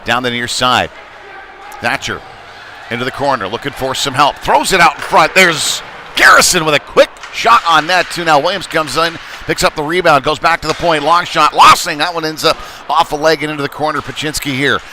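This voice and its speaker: A man doing live play-by-play of a youth hockey game in a halting, folksy, conversational way. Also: a little high, sharp tone. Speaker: play-by-play commentator. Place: indoor ice rink during a game. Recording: noisy arena, clear rink ambience behind.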